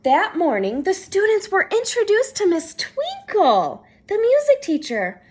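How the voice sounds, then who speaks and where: A woman reads a picture book to children in a high voice that swings widely up and down. She takes her time over the words, with a dramatic, expressive, teacher-like delivery. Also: measured pace. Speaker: storyteller reading aloud to children.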